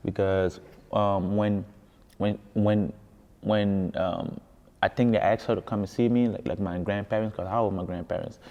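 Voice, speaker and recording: A man's voice: on the low side, steady tone, normal speed. A man from Ghana with a Ghanaian accent telling his story in a casual interview, often repeating words for emphasis. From West Africa, grew up in Ghana. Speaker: musician being interviewed. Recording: echoey hall, dull muffled sound.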